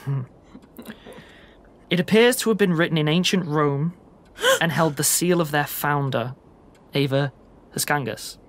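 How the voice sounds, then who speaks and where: A man playing a hesitant, grave man in a tabletop roleplay, speaking formally and carefully, with halting delivery. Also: on the high side, fairly bright tone. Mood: tense, formal. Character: hesitant, grave man breaking difficult news. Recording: clear background noise.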